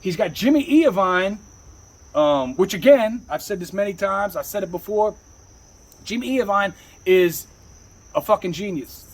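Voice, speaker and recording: A man speaks in a high, rough-edged husky voice that leaps up and down with emphasis. He rambles casually like a rapper telling his own story, repeating himself and peppering it with street slang and curses. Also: animated, measured pace, long pauses. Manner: emphatic, repetitive, streetwise slangy talk with frequent swearing. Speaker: rapper, interview guest.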